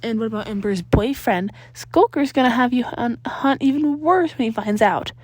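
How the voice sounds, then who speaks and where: A woman's voice: a little high, normal speed, slightly rough, no echo. Woman as skeptical, wisecracking teenage boy. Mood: flat, firm. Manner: flat, wary, disbelieving. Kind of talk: acted fan fiction dialogue.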